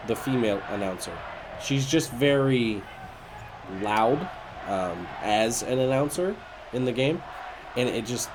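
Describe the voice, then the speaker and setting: A man's voice: on the low side, noisy background. Nasal, congested man commentating a game. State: congested after being sick. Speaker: video game streamer and host. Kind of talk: casual gameplay commentary.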